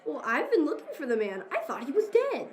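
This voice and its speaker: A woman's voice: high voice, wildly swooping pitch, fairly quick, echoey room. A woman playing an earnest, inquisitive detective in an amateur audio drama. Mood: businesslike.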